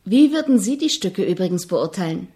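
An actress playing an earnest, persistent young composition student in a German radio drama, questioning politely but insistently. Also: female voice, medium pitch, big pitch swings, a little fast, dark tone, close to an everyday voice. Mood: polite, curious.

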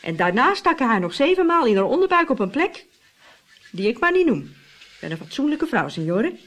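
A woman's voice: high-pitched, sing-song, a little fast, a bit bright, exaggerated voice. A woman playing a gossipy, pious widow in a radio drama, telling scandal in a dramatic, confiding way. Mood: relishing, confiding. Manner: dramatic, confiding storytelling with tag questions.

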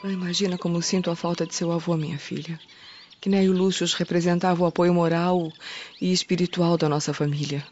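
A woman's voice: on the low side, fairly even intonation, fairly quick, darkish tone, faint music behind, close to an everyday voice. A woman acting a tender, grieving Roman mother in a radio drama with formal diction. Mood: wistful, tender. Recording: muffled recording with outdoor ambience noise.

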